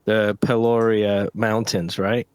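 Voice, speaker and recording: A man's voice: fairly low pitch, steady tone, slow pace, clean tone, no room sound. Playful British man co-hosting a podcast. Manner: jokey, playful asides. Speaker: podcast co-host. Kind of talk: casual chat.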